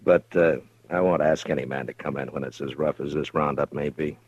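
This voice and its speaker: An actor playing a steady grown male frontier marshal who talks calmly and briefly, in a 1950s radio western. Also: a bass voice, brisk, slightly rough, dry recording. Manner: calm, laconic, dry chuckles. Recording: dull old radio broadcast.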